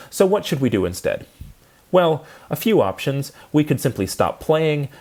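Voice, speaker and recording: A man's voice: medium pitch, darkish tone. A man giving an instructional lecture, explaining things methodically step by step.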